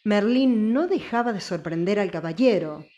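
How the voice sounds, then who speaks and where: Mid-pitched woman narrating a story. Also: lively intonation, moderate pace, dark tone, dull audio. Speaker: audiobook narrator.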